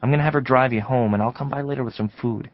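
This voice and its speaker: A middle-pitched male voice from an actor plays a weary forensic detective in a radio drama. He is informal and sympathetic with a reflective noir manner, recorded with a slightly dull sound. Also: very fast, dry recording, close to an everyday voice. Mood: sympathetic, subdued. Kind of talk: audio drama acting and narration.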